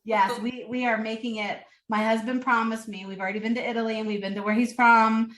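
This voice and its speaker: A woman's voice: on the high side, level tone, brisk, a bit bright. A woman hosting a podcast, chatting casually as she interviews her guest. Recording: roomy, echoey sound.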